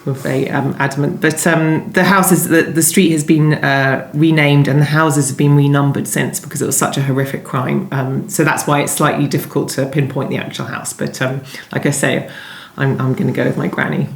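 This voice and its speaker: Low-voiced woman in an echoey room. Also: little pitch movement, fairly quick, creaky, hardly any pauses. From London, England. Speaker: guest novelist being interviewed. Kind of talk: conversational interview answers. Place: indoors at home.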